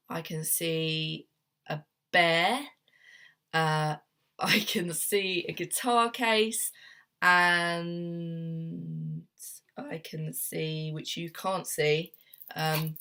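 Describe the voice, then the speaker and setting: Soft-spoken young woman with a cold. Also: deep voice. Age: young adult. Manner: gentle, meandering, many ums and restarts. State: has a cold, sniffly. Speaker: therapist. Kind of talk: casual self-help talk to camera. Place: home room at a desk.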